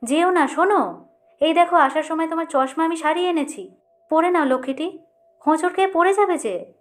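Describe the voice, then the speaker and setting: A woman's voice: extremely high pitch, moderate pace, voice pushed up. A woman voicing an anxious, affectionate young woman who fusses and coaxes in an audiobook story. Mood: pleading. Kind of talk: audiobook character dialogue.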